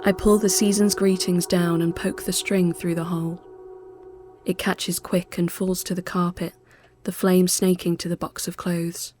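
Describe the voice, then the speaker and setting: A woman giving a literary first-person narration, measured, hushed and sombre. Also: on the low side, level tone. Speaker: storyteller.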